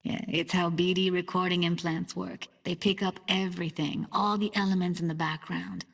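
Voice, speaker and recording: A woman's voice: fairly low pitch, level tone, normal speed, vocal fry, husky. An actress voicing a streetwise young techie woman in a cyberpunk video game, talking in a casual, slangy, wry way. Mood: focused, explaining. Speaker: video game character actor. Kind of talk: naturalistic game voice acting.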